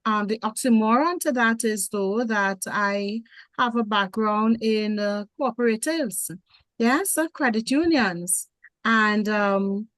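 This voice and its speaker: A woman's voice: medium pitch, fairly bright tone, no room sound, rough recording. A woman in her forties with a Trinidadian accent, a nurse introducing herself as a panel guest in a rambling way with many fillers. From Trinidad and Tobago.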